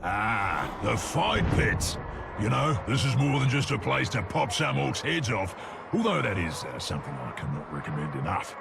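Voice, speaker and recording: An actor voicing a boisterous, bloodthirsty orc for a video game, gravelly, with a British working-class accent and chummy slangy talk. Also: male voice, fairly low pitch, very animated, normal speed, music in the background, heavily acted. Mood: chummy relish. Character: boisterous, bloodthirsty orc who loves drink and pit fights. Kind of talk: video game character acting. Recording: loud background noise.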